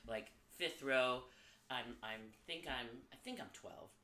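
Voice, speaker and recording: A woman guest on a podcast, telling animated stories from her life, swearing freely and laughing often. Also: very deep voice, slow pace. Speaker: podcast guest, Broadway performer. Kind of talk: casual storytelling chat. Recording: echoey room with faint background noise.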